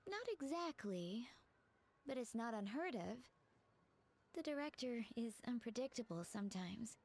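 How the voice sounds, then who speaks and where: A woman's voice: a little high, expressive, a little slow, darkish tone. A woman playing a polite, apologetic attendant in a video game, courteous and understated. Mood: calm. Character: polite, calm, apologetic funeral parlor attendant.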